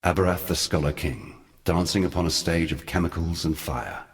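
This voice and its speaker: A man playing an ancient, sardonic god in a video game, telling dark lore in a measured, theatrical and eloquent way with a weighty voice. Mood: wry, brooding. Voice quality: very deep, weighty voice. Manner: measured, theatrical, wry storytelling. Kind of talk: scripted video game character monologue.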